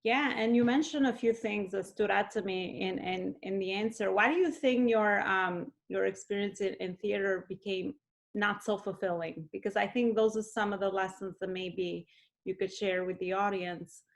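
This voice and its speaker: A woman's voice: medium pitch, steady tone, normal speed, gravelly. A woman hosting an interview, asking follow-up questions and listening attentively with frequent murmurs of agreement. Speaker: interview host and coach.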